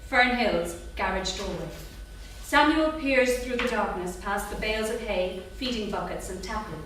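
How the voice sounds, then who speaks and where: A woman's voice: medium pitch, normal speed, a bit bright. A woman reading scripted narration and stage directions at a live staged reading. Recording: distant, very echoey theatre with noise.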